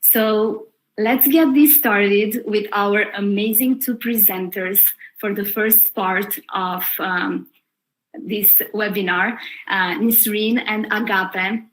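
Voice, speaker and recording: A woman hosting a live webinar, welcoming the audience in an upbeat, deliberate way. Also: mid-range voice, fairly even intonation, a bit bright, poor audio. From Romania. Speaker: webinar host.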